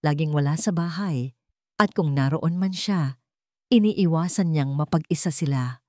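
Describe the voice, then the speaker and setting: A woman with a Filipino accent narrates a melodramatic story. Her voice is low, and her delivery is measured and solemn. The recording is very dry. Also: dark tone, no room sound. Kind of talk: dramatic scripted narration.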